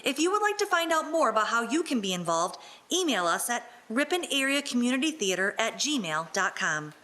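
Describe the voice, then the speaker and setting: A woman's voice: fairly high pitch, very animated, brisk, bright, forceful tone, slight background noise. A woman reading scripted radio announcements and narration.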